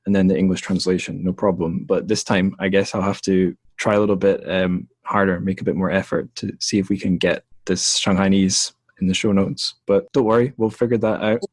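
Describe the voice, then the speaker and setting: A young man hosts a book podcast in a relaxed, hesitant way, often pausing on ums and restarting sentences. His voice sits low. The sound is dry with no room around it. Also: dry recording. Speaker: podcast host. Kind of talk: casual interview chat.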